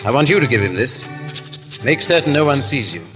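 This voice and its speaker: A man's voice: medium pitch, lively intonation, fairly quick, close to an everyday voice. An actor in a 1930s radio drama playing an English nobleman who poses as an idle, airy fool, with drawling, stammering repeats. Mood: brisk, grave. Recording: old 1930s radio recording, faint music.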